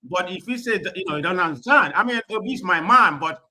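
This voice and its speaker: Fast, bright-voiced man, rambling. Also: high-pitched, expressive. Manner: rambling, many "you know" fillers, blunt. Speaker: caller on a live broadcast. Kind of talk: heated call-in debate.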